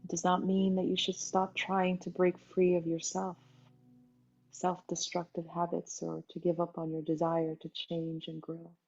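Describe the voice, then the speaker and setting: A woman leading a guided meditation as its teacher, talking in an unhurried way with pauses between short phrases. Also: on the low side, monotone, a little mellow. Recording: dull lo-fi sound, music behind. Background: music.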